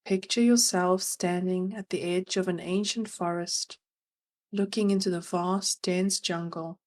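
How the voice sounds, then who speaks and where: A woman narrating a guided meditation as its guide, gentle and soothing, leaving long pauses between phrases. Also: fairly low pitch, fairly even intonation.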